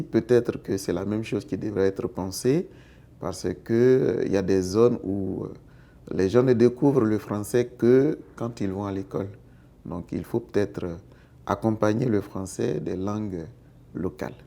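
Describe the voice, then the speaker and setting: A man, answering a street interview in a thoughtful way, pausing often with fillers. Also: fairly low pitch, creaky, mellow. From Côte d'Ivoire.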